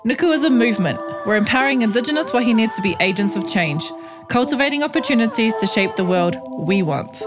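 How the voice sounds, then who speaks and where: A woman's voice: fairly high pitch, expressive, quick pace, music in the background. A woman with a New Zealand accent, speaking as a podcast host in an interview show. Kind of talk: conversational interview with scripted introduction.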